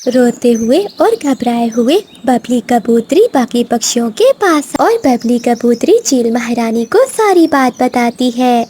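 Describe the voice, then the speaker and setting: Slow, high-voiced woman storyteller. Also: animated, dark tone, slight background noise. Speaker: narrator. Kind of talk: scripted storybook narration for cartoon.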